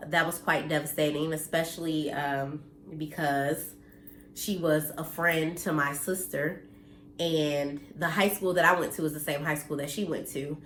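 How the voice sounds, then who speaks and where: A woman in her thirties speaks to camera at home, slightly nasal and congested, earnest and blunt with the odd curse. Her voice sits a little low. The room echoes and a faint hum of ambience stays underneath. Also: fairly even intonation, a little slow, fairly bright tone. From United States. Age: 30s. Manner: earnest and blunt, mildly preachy, occasional cursing. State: congested from allergies. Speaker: vlogger. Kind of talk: casual direct-to-camera talk.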